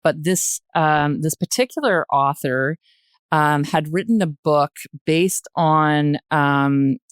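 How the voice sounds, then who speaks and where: An older woman chatting as a podcast guest, telling a story in a halting, thoughtful way. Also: low voice, clean tone, no room sound, studio quality. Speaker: podcast guest, retired journalist and producer. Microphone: close podcast microphone.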